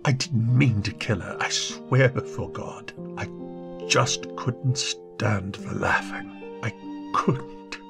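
An actor playing a guilt-ridden, anguished husband in a radio drama, speaking haltingly as emotion breaks through. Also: male voice, lowish voice, creaky, music in the background, full character voice. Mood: tormented confession. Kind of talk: old-time radio drama acting.

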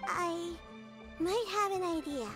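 An earnest young child speaks in a very high, small voice. The delivery is sincere and helpful, in video game style, with music clearly underneath. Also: female voice, slow. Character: earnest little child caring for granny. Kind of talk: anime video game voice acting. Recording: music behind the voice.

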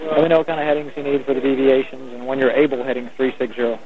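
A man's voice: mid-range voice, level tone, racing. A man working as an air traffic controller, giving clipped procedural instructions over the radio. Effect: radio transmission. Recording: narrow-band, noisy air traffic radio.

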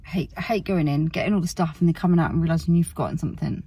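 British female vlogger, 40s, lower husky voice, casual and meandering, recorded slightly off-mic in a car. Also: racing, warm tone, distant mic. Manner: rambling, word-searching, self-correcting. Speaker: family vlogger. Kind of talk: casual chat to camera. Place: inside a parked car.

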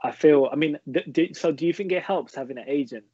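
A man's voice: fairly high pitch, dark tone, slightly rough, no echo. A man, hosting a podcast interview over a video call from home, often restarting his sentences. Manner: halting, lots of restarts. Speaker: podcast host. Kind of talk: casual interview chat. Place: small room at home. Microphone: video call with earbuds. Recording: dry, muffled video call audio.

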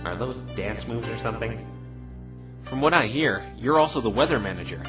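A man voicing an earnest, bemused defense lawyer in a fan dub, asking measured questions with dry puzzlement. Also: mid-range voice, expressive, natural voice. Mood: bemused, questioning. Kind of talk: fan dub voice acting of a visual novel. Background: music.

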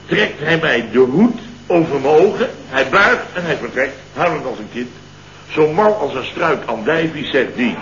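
A man's voice: fairly high pitch, lively intonation, normal speed, a bit bright. An actor playing a blustering middle-aged father in a late-sixties Dutch radio comedy, telling stories with theatrical indignation and mimicked quotes. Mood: indignant, amused. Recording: dull, roomy, noisy old radio recording.

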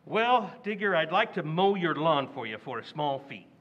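Man doing a blustering dad, high voice, bright, lively, muffled. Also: normal speed. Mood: pleading. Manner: brash, boastful, chuckling. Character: blustering middle-aged father Riley, boastful and brash. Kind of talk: 1940s radio comedy acting.

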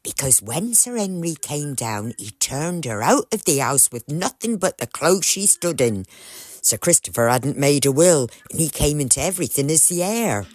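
An actress playing an anxious, deferential old British housekeeper who confides gossip in an audio drama. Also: female voice, deep voice, sing-song, normal speed, no room sound. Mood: confiding, earnest. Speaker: actor.

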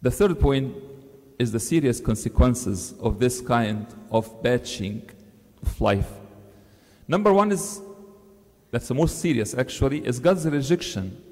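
A priest preaches from a lectern, earnest and emphatic, his pitch climbing on the words he stresses. His voice is dark and mellow. It rings out with a long reverb that fills the large church. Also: expressive, a little slow. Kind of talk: sermon. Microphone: stand mic on the lectern.

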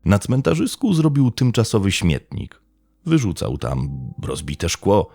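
A man narrating a scripted true crime story as podcast host, in a measured, dramatic storytelling manner. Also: deep voice, huge pitch swings, vocal fry, darkish tone, no room sound.

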